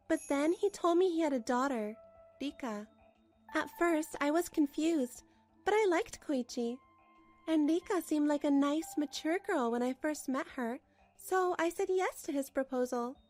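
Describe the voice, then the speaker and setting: A woman's voice: high voice, normal speed, a little mellow, faint music behind, lightly acted. An actress playing a weary, put-upon housewife who tells her own story in a manga dub, plaintive and apologetic. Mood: resigned narration. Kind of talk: first-person scripted story narration, manga dub.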